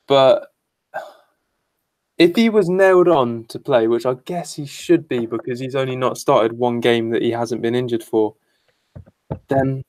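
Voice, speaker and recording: A man's voice: medium pitch, a little mellow, no echo. A man co-hosting a fantasy football podcast in casual chat, speaking bluntly and swearing freely.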